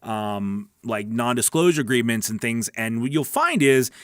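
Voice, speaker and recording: A man with a medium-pitched voice talks casually as he coaches on a live stream. He explains things in an emphatic, conversational way, often repeating a word or checking that listeners follow. The sound is dry, with very little room on it. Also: very animated, moderate pace, fairly bright tone, no room sound. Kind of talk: casual instructional livestream chat, answering viewer questions.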